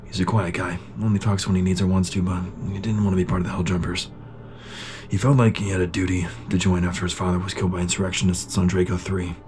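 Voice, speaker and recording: Brooding soldier, played by a man, low voice, terse, war-weary sergeant for sci-fi audio drama. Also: flat delivery, fast talker, darkish tone, a little hiss or hum, lightly acted. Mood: subdued, reflective. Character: bitter, battle-worn soldier, sergeant of shock troops.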